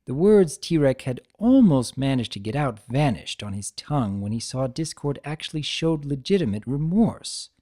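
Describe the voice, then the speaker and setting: A man's voice: mid-range voice, very animated, normal speed, hardly any pauses, dark tone. A man reading scripted story narration as the narrator of a dramatic tale.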